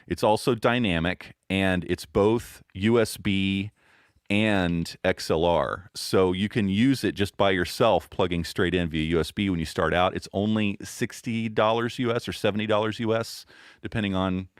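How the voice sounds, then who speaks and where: A man with a boomy voice, a podcast guest explaining microphones and audio settings in a relaxed, casual interview chat. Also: moderate pace, clear, resonant tone, no echo, clean studio sound. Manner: relaxed, explains gear step by step with fillers.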